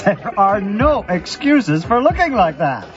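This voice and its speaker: An actor playing a camp, catty, flirtatious gatecrasher in a comic audio drama, arch and theatrical. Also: male voice, high-pitched, very animated, a little slow. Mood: catty, flirty. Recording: dull lo-fi sound, music behind.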